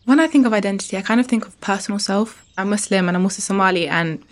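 A woman's voice: mid-range voice, quick pace. A British woman from a podcast group, chatting enthusiastically and thinking aloud. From Britain. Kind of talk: casual reflective chat.

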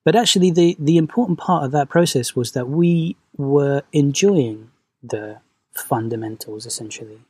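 Soft-spoken husky man. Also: fairly high pitch, moderate pace, dry recording. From Venezuela, raised in London. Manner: soft-spoken, hesitant, reflective. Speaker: guest, movement coach. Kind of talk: podcast conversation.

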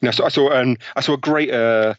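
A man's voice: mid-range voice, brisk, no echo, highs cut off. A man, a book author and podcast guest, explaining research studies in a conversational way and often saying "you know".

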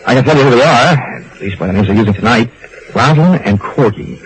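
An actor playing a hard-boiled American insurance investigator in a 1950s radio drama, sardonic, world-weary and clipped. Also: male voice, medium pitch, expressive, quick pace, a little hiss or hum, close to an everyday voice, poor audio. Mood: wry, resigned. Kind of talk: 1950s detective radio drama, first-person narration and dialogue. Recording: old radio recording with music.

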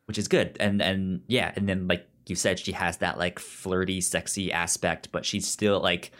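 A podcast co-host chatting casually about films and comics, halting and often stammering over words. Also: sits low, flat delivery, a bit bright. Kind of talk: casual film and comic discussion.

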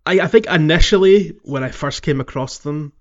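A man's voice: a little high, normal speed, muffled recording. A man hosting a podcast, casually discussing a video game and thinking aloud as he talks. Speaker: podcast host.

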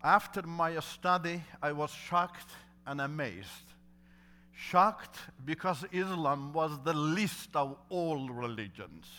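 Earnest man, Turkish native, bright voice. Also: animated, takes it slowly. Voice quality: non-native English with fillers. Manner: earnest, builds to emphatic preaching with rising pitch. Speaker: guest speaker telling his testimony. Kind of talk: testimony sermon.

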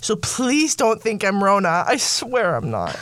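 A woman's voice: mid-range voice, very animated, measured pace, dry recording. A woman in her late teens co-hosting a student show with casual, giggly, slangy banter, her voice a little scratchy from allergies. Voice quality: slightly scratchy, softened from allergies.

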